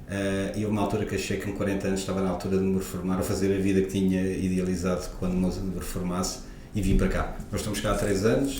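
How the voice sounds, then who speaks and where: A man's voice: sits low, darkish tone, slight background noise. A man in his forties from Lisbon with a European Portuguese accent, a guest osteopath talking reflectively with many hesitations. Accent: European Portuguese, Lisbon area. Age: 40s. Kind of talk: casual interview talk. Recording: echoey room, off-mic, faint ambience.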